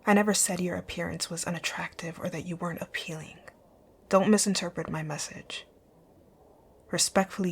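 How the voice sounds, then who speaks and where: A woman playing a rich, dominant company owner in an ASMR roleplay, speaking in a composed, commanding, breathy near-whisper. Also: on the low side. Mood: softly admiring. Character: rich, bossy, dominant female company owner, curt yet flirtatious. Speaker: audio roleplay voice actor. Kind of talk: ASMR roleplay, scripted one-sided dialogue.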